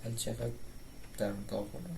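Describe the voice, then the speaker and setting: A man's voice: on the low side, level tone, racing, creaky. A man with an Iranian accent giving casual, playful gameplay commentary, muttering to himself as he plays. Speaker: game streamer. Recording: noisy, with game sound behind.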